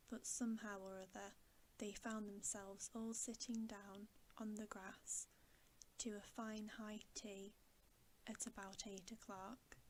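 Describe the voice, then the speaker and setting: A woman reading a bedtime story aloud in ASMR style, softly and deliberately, often in a whisper, close to the microphone. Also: mid-range voice, rough recording. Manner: soft, hushed, often whispering, slow and deliberate. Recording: steady background hiss.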